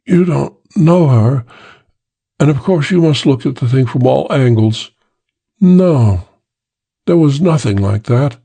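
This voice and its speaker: A man's voice: mid-range voice, animated, takes it slowly, creaky, long pauses, dark tone, slightly rough, pitched above the performer's own voice, exaggerated voice. A man voicing an earnest, overwrought young poet in love, pleading emotionally, in an audiobook. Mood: anguished, insistent. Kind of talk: audiobook character acting.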